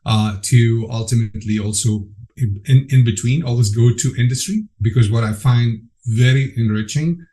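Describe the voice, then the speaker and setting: A man's voice: medium pitch, normal speed, hardly any pauses, warm tone. A middle-aged man from Egypt, a program director speaking as a podcast guest, earnest and enthusiastic with frequent restarts. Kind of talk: conversational interview.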